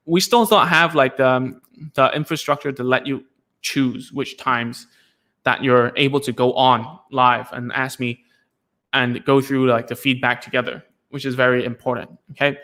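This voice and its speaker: A man's voice: mid-range voice, unhurried, fairly bright tone. A man hosting a casual live stream Q&A as a speech therapist, talking loosely with many fillers and restarts.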